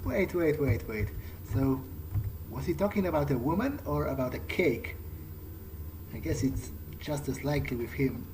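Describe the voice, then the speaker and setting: A man's voice: medium pitch, expressive, moderate pace, background noise, close to an everyday voice. A man roleplaying a wary, earnest vampire game character, muttering his thoughts hesitantly in an everyday voice. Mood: uneasy, musing. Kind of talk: in-character game playthrough commentary. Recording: loud game music behind.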